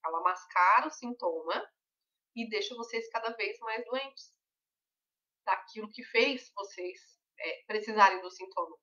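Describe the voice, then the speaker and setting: A woman's voice: medium pitch, measured pace, sharp tone, recorded from a distance, lo-fi sound. A woman leading a weight-loss mentoring session, talking conversationally and explaining things with everyday examples.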